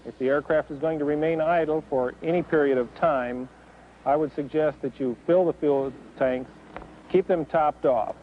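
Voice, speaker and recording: A man giving measured, didactic advice as an aviation expert. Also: fairly high pitch, fairly even intonation. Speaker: aviation advisor. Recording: tinny old film audio, faint noise.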